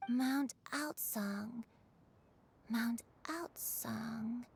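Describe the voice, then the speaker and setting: A woman voicing a frail, confused, gentle old granny with a halting, weary delivery in a video game. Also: a little high, a little hiss or hum. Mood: dazed.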